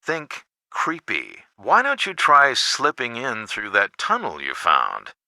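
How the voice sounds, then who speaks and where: An actor playing a helpful, folksy adult mentor who coaches the player with knowing, encouraging hints in a video game. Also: male voice, medium pitch, wildly swooping pitch, takes it slowly, creaky, sharp tone, no echo, heavily acted. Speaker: hint giver in an adventure game. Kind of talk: scripted video game voice acting.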